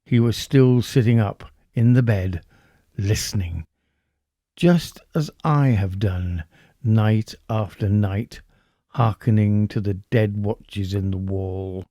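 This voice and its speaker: A man playing a nervous, obsessive murderer confessing in a horror audiobook, with deliberate, theatrical pauses. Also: on the low side, dark tone, no echo, clean studio sound. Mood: brooding dread. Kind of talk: dramatic horror audiobook narration.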